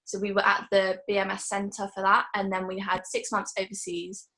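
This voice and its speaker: An eighteen-year-old woman from England giving a casual, chatty group presentation about her gap year, pausing now and then to search for words. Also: medium pitch, completely flat delivery, sharp tone, low-quality recording. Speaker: gap-year team member.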